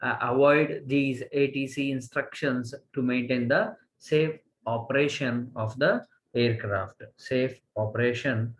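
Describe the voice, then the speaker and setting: Slow-paced Indian male lecturer. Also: mid-range voice, fairly even intonation, slight background noise, poor audio. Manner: halting, repeats phrases for emphasis. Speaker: college lecturer. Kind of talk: slide lecture, reading and explaining.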